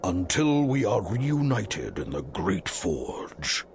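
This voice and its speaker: A resolute dwarf warrior, slow and gravelly with very dramatic swings in pitch. He is stoic and declamatory, a brave fantasy game hero. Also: very animated, slight background noise, exaggerated voice. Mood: solemn farewell. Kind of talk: fantasy game cutscene acting.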